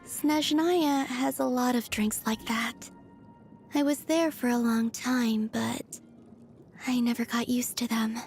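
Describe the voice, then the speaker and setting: A woman voicing a serene, otherworldly young moon maiden from a video game, soft and breathy, with a gentle, naive curiosity. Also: sits high. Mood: puzzled, mild. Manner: slow, dreamy, innocently curious. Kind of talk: video game character voice lines.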